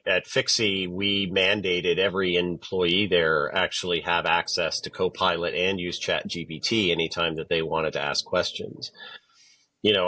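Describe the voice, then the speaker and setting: A man's voice: sits low, bright, forceful tone, dry recording. A man speaking as a tech expert guest on a podcast, explaining his points conversationally with quoted examples. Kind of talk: conversational interview answers.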